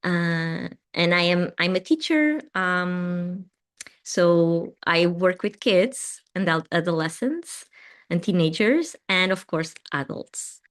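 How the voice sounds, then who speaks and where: Slow, gentle Portuguese-accented woman. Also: fairly low pitch, expressive. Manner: gentle, unhurried, with many fillers and restarts. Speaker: meditation host and teacher. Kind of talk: live-streamed spiritual talk greeting viewers.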